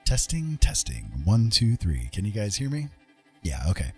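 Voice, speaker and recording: A man's voice: lowish voice, huge pitch swings, normal speed, soft background music. A man hosting a livestream, talking in a casual, unscripted, rambling and conversational way. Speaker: live streamer hosting a chat stream.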